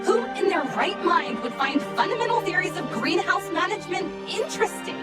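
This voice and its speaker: A high, swooping female voice over loud music, a sassy little machine companion in a video game. She is chatty and theatrical, complaining with exuberant drama. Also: lively intonation, fairly quick. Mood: indignant complaint. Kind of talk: video game cutscene acting.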